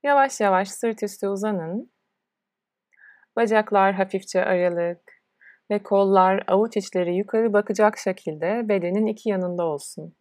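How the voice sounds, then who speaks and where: A woman leading a guided relaxation as a yoga nidra instructor, speaking in a soothing, unhurried way with pauses between instructions. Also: medium pitch, a little mellow, no echo, clean studio sound.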